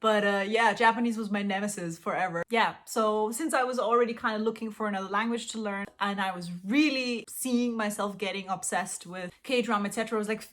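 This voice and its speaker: A woman's voice: medium pitch, brisk, few pauses. Chatty woman vlogging, giggles a lot, lots of ums, mid-height voice. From Austria. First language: Austrian German. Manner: chatty, giggly, self-deprecating, lots of fillers. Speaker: language-learning vlogger. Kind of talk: casual chat to camera.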